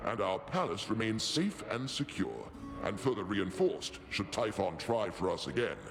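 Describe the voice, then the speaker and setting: Pompous old god king in a video game, real lively pitch swings, formal talk, music under it. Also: moderate pace. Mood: grand, proud. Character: regal king of the gods, older, grandly confident. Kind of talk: scripted game acting.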